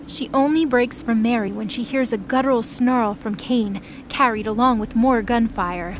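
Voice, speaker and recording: A woman's voice: fairly high pitch, moderate pace, background noise. A woman reading scripted narration for an audio drama, in the role of storyteller.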